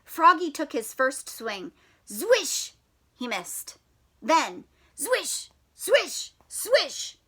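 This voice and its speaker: A woman reading a children's picture book aloud like a teacher, expressive with drawn-out words and playful questions to her young listeners. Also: sits high. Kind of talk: animated storybook read-aloud with questions to children.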